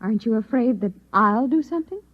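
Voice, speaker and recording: A woman playing a composed, secretive Martian posing as a wife in an old-time radio drama, poised and deliberate. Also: fairly high pitch, animated, dull audio. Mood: wary.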